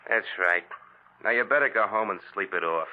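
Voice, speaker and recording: A tired bartender speaks in a lowish, matter-of-fact voice, as an actor plays him. The 1940s radio sound is thin and tinny. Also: male voice, brisk, lightly acted. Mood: weary. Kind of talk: 1940s radio drama acting.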